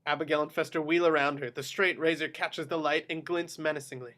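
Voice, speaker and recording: A man's voice: fairly high pitch, fairly even intonation, brisk, a bit bright. A man reading screenplay action descriptions aloud as narrator in a table read.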